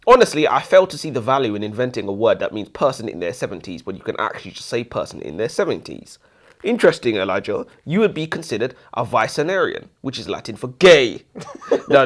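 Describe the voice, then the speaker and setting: A man co-hosting a casual book review, speaking bluntly with dry humour. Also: medium pitch.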